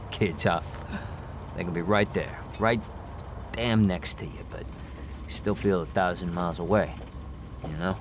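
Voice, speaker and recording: An actor playing a folksy, rambling cab driver and dad, chatting casually in a radio drama. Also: male voice, low voice, fairly even intonation, unhurried, pauses often. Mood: rueful, folksy. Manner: rambling, hesitant, colloquial. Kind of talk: naturalistic, casual dramatic chat. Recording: street and car noise behind.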